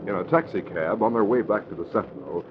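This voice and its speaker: A man's voice: low voice, fast. A man giving scripted narration for a 1940s radio drama. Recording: muffled old radio recording with music. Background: music.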